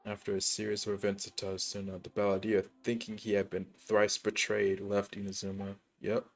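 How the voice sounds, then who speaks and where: A man's voice: lowish voice, monotone, a little fast, creaky. A man streaming a video game, making casual, mumbled asides with fillers and slang. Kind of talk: casual gaming commentary.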